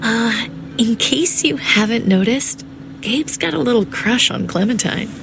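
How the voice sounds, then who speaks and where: A woman's voice: a little high, animated, measured pace, creaky, music in the background, rough recording. An actress playing a practical, nostalgic mother in a video game drama, speaking in a casual, understated manner. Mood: wistful, confiding. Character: practical, nostalgic mother surviving a zombie apocalypse.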